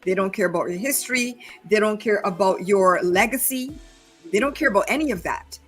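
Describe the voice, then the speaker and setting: Female panelist, Barbadian accent, husky mid-range voice, deliberate emphatic delivery, reflective discussion. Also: medium pitch, fairly bright tone, faint music behind. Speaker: panel speaker.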